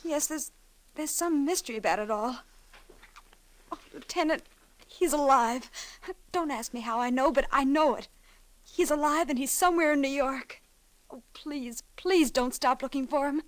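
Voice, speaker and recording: A young bride-to-be played by an actress in old-time radio melodrama, with a notably high voice. She is earnest and devoted, speaking in a breathless, imploring, emotional way, recorded dry and close. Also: moderate pace, pauses often, no room sound, natural voice. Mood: pleading. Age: young adult. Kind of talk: 1940s-50s radio melodrama acting.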